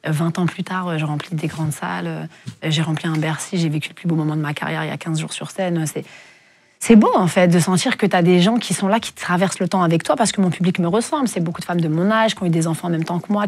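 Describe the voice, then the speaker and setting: A woman's voice: lowish voice, husky. A woman in her forties, a singer guest in an interview, speaking candidly and reflectively with many hesitations. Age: 40s. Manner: reflective, soft-spoken with frequent hesitations.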